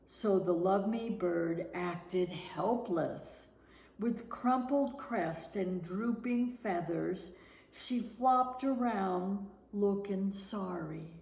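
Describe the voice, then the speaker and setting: A woman reading a children's picture book aloud with deliberate, expressive storytelling. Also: medium pitch, gravelly. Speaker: guest storyteller. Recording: roomy, echoing room sound.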